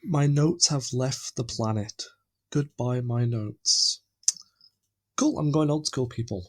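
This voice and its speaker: Husky-voiced English podcast host. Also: male voice, mid-range voice, slow pace. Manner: rambling, animated, self-deprecating. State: tired from lack of sleep. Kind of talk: casual podcast chat.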